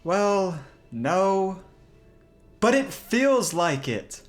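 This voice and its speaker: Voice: mid-range voice, sing-song, very slow, faint music behind. A performer playing a theatrical, self-pitying bard in a radio drama, grand and melodramatic. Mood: dejected.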